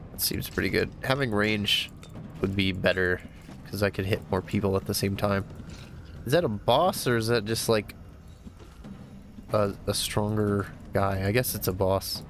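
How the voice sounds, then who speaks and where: A man's voice: on the low side, fairly even intonation, normal speed, vocal fry, a little hiss or hum. A man with a stuffy, congested voice, casually thinking aloud as he plays a game for the first time. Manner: thinking aloud, easygoing, upbeat exclamations. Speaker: gamer playing a new game. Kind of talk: casual let's-play commentary. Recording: game music behind.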